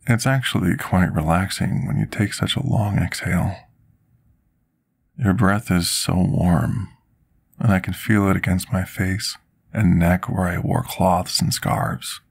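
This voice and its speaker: A man playing a gentle, timid potion master in a soft-spoken ASMR roleplay, husky and gravelly, formal and deferential. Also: sits low, steady tone, unhurried, exaggerated voice. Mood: soothing, tender. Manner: formal, polite, submissive, archaic wording.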